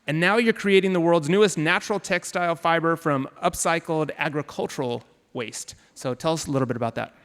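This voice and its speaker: Upbeat male panel moderator, clear voice. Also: fairly high pitch, a little fast, hardly any pauses, fairly bright tone. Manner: upbeat, enthusiastic. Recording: long hall reverb.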